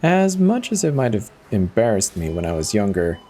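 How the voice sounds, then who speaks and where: A man's voice: on the low side, very animated, normal speed, dark tone. A man giving a dramatic first-person reading of a horror story as its narrator.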